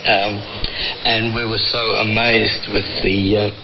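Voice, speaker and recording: Heavy shortwave noise surrounds a muffled, low-pitched voice. It belongs to an Australian man in his sixties being interviewed on the radio, who speaks haltingly and pauses often as he explains things. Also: flat delivery. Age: 60s. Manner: halting, hesitant delivery with frequent pauses. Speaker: interviewee, volunteer frequency coordinator.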